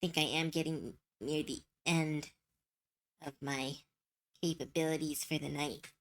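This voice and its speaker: A woman's voice: deep voice, fairly even intonation, measured pace. Giggly, rambling woman streamer. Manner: rambling, giggly, thinks out loud. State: voice getting hoarse and tired. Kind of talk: casual chat while drawing.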